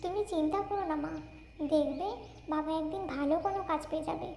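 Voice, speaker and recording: Very high-voiced little girl. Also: quick pace, darkish tone. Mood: comforting. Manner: childlike, sweet. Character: sweet, hopeful little village girl, devoted daughter. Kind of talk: Bengali cartoon fairy-tale acting. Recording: roomy echo, clear ambient bird noise.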